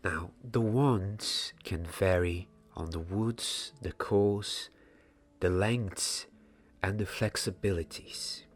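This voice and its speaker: A young man playing a gentle, mysterious wizard wand maker in a soft, half-whispered ASMR roleplay, speaking deliberately in short phrases. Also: low voice. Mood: instructive, reverent. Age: 20s. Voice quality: hushed, breathy, half-whispered. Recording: audible background hiss, small clicks.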